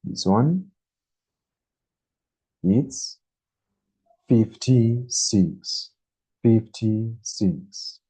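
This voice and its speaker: Very slow, deep-toned male English teacher. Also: warm tone, husky. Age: 20s. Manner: very slow, deliberate, repeats each number. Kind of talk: beginner English lesson. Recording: dull lo-fi recording.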